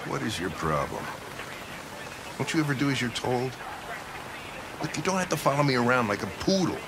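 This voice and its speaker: An actor playing a gruff, bitter middle-aged police lieutenant in a video game, with a gravelly, curt, hostile delivery. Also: male voice, medium pitch, sing-song, moderate pace, very noisy. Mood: angry.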